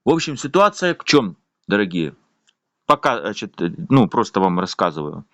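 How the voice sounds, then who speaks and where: A man's voice: on the low side, big pitch swings, moderate pace, fairly bright tone, dry recording. A man with a voice, complaining at length in a casual, rambling video monologue full of fillers. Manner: indignant, rambling, with frequent fillers. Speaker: vlogger.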